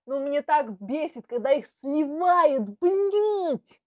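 A woman's voice: high-pitched, lively intonation, slow pace, no room sound, highs cut off. A young woman reacting casually on camera. She chats informally and swears freely. The sound is dry and close, as from a small room at home. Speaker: host of reaction videos.

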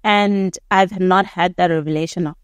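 A woman hosts a relaxed podcast chat, her voice sitting a little low, asking thoughtful questions and nudging her guest along with gentle affirmations. The recording is close and dry, from a desk-stand mic in a small living room. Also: normal speed, clear, resonant tone, dry recording. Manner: encouraging, reflective questioner with backchannels. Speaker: podcast host. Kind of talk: casual podcast interview. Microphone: studio mic on a desk stand.

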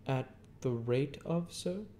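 A timid young man, as a male reader voices him, answering with polite, hesitant deference. The voice sits far above the reader's usual pitch, soft-toned and mellow. A storybook youth keen not to offend. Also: takes it slowly, slight background noise, lightly acted. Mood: deferential.

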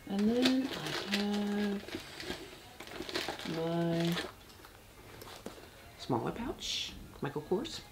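A soft-spoken woman in her fifties, casually showing a handbag in her bedroom with halting speech and many fillers. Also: medium pitch, expressive, heavy background noise. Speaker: handbag vlogger. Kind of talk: casual product demo. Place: bedroom, seated on a bed. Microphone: external camera mic.

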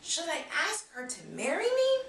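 A woman's voice: extremely high pitch, huge pitch swings, measured pace, fairly bright tone, voice pushed up, full character voice. A woman voicing an earnest, chatty middle-aged male janitor in love in a children's storybook. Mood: earnest, confiding. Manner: chatty, hesitant, confiding. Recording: roomy, distant camera microphone.

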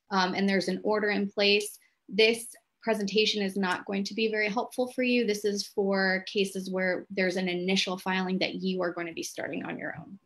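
A woman presenting a legal self-help clinic, explaining terms in a measured, plain-spoken way to a lay audience. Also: mid-range voice.

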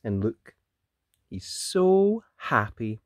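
A woman's voice: a bass voice, big pitch swings, drawn-out words, no room sound, clean studio sound. A woman hosting a children's read-aloud, questioning young listeners and reacting expressively to the pictures. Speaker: children's story reader, host.

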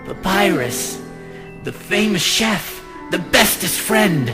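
A woman voicing a small talking flower in a comic dub, flattering and coaxing with a cruel, scheming streak. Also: mid-range voice, slow. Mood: sly coaxing. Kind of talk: comic dub character acting. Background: music.

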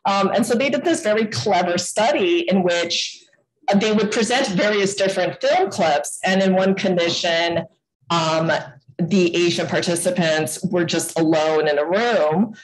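A woman's voice: lowish voice, a little slow, low-quality recording. A woman giving an online lecture over a video call, talking casually with many fillers and restarts. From United States.

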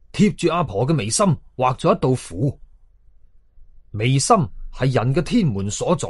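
A man's voice: mid-range voice, darkish tone, husky, no echo. A man with a Hong Kong Cantonese accent narrating a horror story dramatically, as an audio storyteller.

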